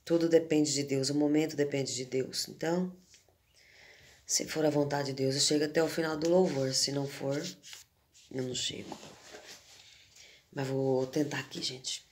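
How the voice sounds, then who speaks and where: A hoarse woman in her fifties with a cold, from Brazil, chatting in a homely, devotional way to her viewers and recorded on a phone at arm's length in a room at home. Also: very deep voice, steady tone, a little mellow, slight background noise. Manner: slow, homely talk with frequent "né" and "gente". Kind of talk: casual devotional chat to viewers. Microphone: phone camera at arm's length.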